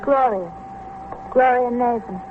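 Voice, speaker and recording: A woman's voice: on the high side, takes it slowly. An American woman playing a gangster's defiant, evasive young girlfriend in a vintage radio crime drama, curt and indignant. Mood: guarded, evasive. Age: 20s. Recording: noisy, narrow-band old radio recording.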